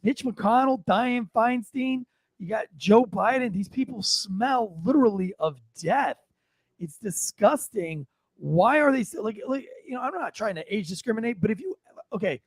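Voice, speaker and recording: High-voiced American man, blunt and opinionated. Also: dry recording. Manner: blunt, ranting, repeats phrases for emphasis. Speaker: podcast co-host. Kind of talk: casual, profane opinionated banter.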